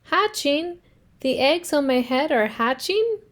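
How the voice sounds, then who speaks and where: A woman's voice: high voice, expressive, takes it slowly. A woman voicing an anxious, fretful male elephant in a children's storybook read-aloud, asking worried questions. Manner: worried, questioning, rising alarm.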